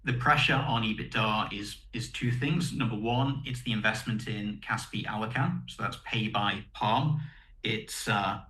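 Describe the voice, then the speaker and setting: An echoey conference call recording carries a man's fairly low, bright voice. He speaks as a company executive presenting results. His delivery is methodical and explanatory, setting out one point after another with a firm, forceful tone. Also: unhurried.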